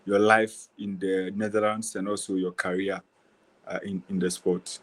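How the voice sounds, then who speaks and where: Man, Ghanaian accent, fairly low pitch, sports interviewer, conversational questioning, repeated phrases. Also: flat delivery, moderate pace, fairly bright tone.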